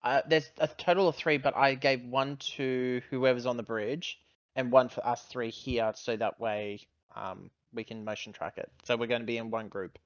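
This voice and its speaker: A man's voice: medium pitch, steady tone, moderate pace, muffled recording. A man chatting casually and thinking aloud as a player in a tabletop roleplaying game.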